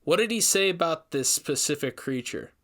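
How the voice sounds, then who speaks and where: A man's voice: on the high side, animated, moderate pace, a bit bright, voice pushed up. A man voicing an inquisitive, slightly impatient investigator of oddities in a story reading. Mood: probing. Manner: probing, interested.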